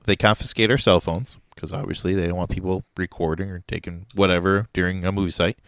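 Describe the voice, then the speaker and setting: A man co-hosting a podcast, relaxed and often laughing, telling stories with many pauses and fillers. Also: deep voice, vocal fry, dry recording. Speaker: podcast co-host. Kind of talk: casual chat, storytelling.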